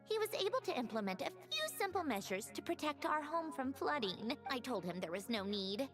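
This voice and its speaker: High-voiced, brisk, bossy young game heroine. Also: female voice, big pitch swings. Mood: wistful, reflective. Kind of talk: video game voice acting. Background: game music.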